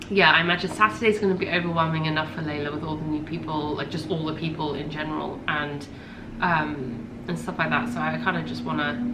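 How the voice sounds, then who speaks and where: A woman in her thirties hosting a podcast, chatting casually and rambling with lots of ums, a little congested from a cold. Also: sits low. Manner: rambling, chatty, with many ums and self-corrections. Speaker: podcast host. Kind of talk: casual chat. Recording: echoey room with faint ambient noise.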